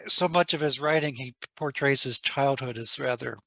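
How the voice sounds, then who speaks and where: A man's voice: medium pitch, normal speed, creaky, pushed and bright, dry recording. A man hosting an online event, giving casual, conversational commentary.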